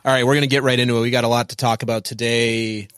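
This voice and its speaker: A man's voice: medium pitch, little pitch movement, very fast, fairly bright tone, clear, resonant tone, dry recording, clean studio sound. Enthusiastic male podcast host. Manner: enthusiastic, chatty. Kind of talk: podcast interview.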